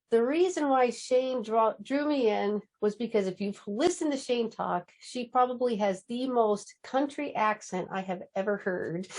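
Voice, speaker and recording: A woman hosting a storytelling event over a video call, effusive and giggly as she thanks one teller and introduces the next. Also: on the high side. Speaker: event host.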